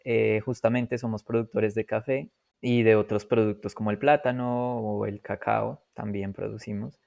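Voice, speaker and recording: A Colombian man in his twenties, a podcast guest telling his story in a relaxed way, laughing often and pausing to reflect. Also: fairly low pitch, creaky, dry recording, muffled recording. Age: 20s. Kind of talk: casual interview storytelling.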